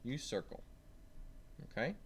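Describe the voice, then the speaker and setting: A man reading a science lesson aloud to young children, stressing key words and asking simple questions as he goes. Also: measured pace. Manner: simple questions and prompts to the listener.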